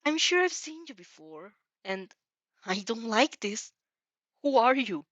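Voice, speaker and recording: A woman voicing a menacing, unsettled male villain in an audio drama, raspy and halting as he makes threats. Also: a little high, very animated, fairly bright tone, no room sound. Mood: suspicious, hostile.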